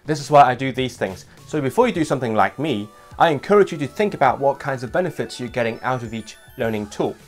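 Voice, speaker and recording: A man's voice: medium pitch, quick pace, hardly any pauses, soft background music. A young man, not a native English speaker, hosting his own language vlog, talking to camera in a small home room and gesturing as he speaks. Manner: animated, gestures with hands while talking. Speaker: language vlogger host. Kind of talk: casual scripted vlog talk to camera.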